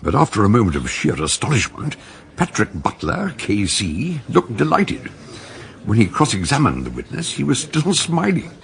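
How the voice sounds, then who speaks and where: An actor playing a shrewd, genial older British doctor who narrates and talks in a detective radio drama. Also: male voice, fairly low pitch, wildly swooping pitch, moderate pace, gravelly, full character voice. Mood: measured storytelling.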